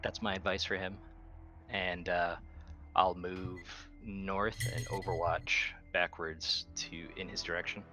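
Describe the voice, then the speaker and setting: A man with a deep voice and a bright, forceful edge plays a tabletop game. He talks casually about his moves, with music faintly behind him. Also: flat delivery, slow pace.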